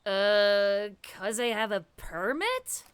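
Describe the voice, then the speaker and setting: A woman voicing a cocky, defiant sixteen-year-old girl in an animated comic dub. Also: mid-range voice, slow pace, clear, resonant tone, dry recording, studio quality. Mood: cheeky, defiant. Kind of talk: animated fandub voice acting.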